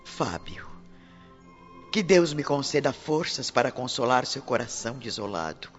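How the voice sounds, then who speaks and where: A man's voice: mid-range voice, expressive, measured pace, lightly acted. Tender consoling spirit played by a man, muffled. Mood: tender, earnest. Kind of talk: radio drama acting. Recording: muffled, music behind.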